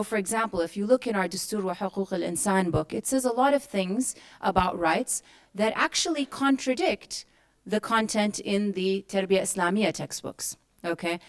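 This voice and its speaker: A woman from Kuwait speaking as a panelist at a forum, answering a question in an earnest, explanatory way and posing rhetorical questions. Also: medium pitch. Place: conference hall.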